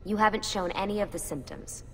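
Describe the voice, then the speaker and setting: An actress playing a composed, reassuring young team leader in a video game cutscene. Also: female voice, medium pitch, brisk, faint music behind, close to an everyday voice. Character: Lucy, composed, reassuring young woman leading operatives.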